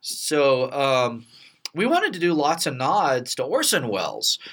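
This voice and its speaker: A middle-aged man from Texas with an American accent hosts a casual behind-the-scenes chat, telling stories in a halting, rambling way. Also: mid-range voice, wildly swooping pitch, fairly bright tone. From Texas, United States. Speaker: podcast host and radio play producer.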